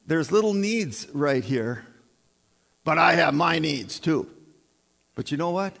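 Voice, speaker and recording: A man's voice: a little high, a bit bright. A man preaching a sermon in a conversational way, often putting questions to the congregation. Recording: reverberant church hall.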